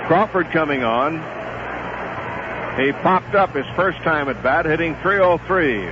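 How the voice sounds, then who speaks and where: A middle-aged man calls a baseball game live on the radio, in a steady, relaxed rhythm that lifts when a play heats up. His voice sits a little high. The sound is a dull, muffled 1970s radio broadcast with clear hiss underneath. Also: measured pace. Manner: steady relaxed play-by-play, rising excitement on big plays.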